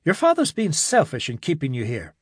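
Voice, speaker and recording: A man's voice: a little high, wildly swooping pitch, a little fast, husky, dry recording, clean studio sound, exaggerated voice. A man voicing an eager, self-assured young man in an audiobook reading.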